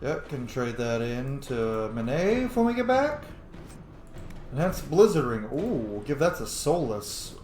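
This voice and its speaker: A man's voice: mid-range voice, big pitch swings, measured pace, darkish tone, roomy sound, soft background music. A man hosting a gaming video with casual, sweary roleplay commentary, speaking his character's thoughts aloud.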